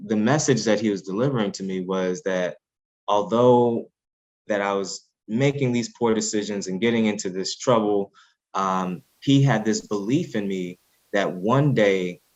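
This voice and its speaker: Earnest deep-voiced man, measured. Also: poor audio. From United States, Haitian family. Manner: measured, earnest, many fillers and restarts. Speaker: podcast guest, author. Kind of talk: reflective interview talk.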